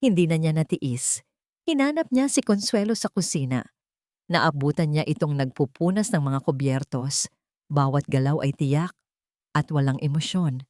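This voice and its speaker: A woman's voice: low-pitched, normal speed, dark tone, dry recording, studio quality. A woman narrating a scripted dramatic story as a storyteller.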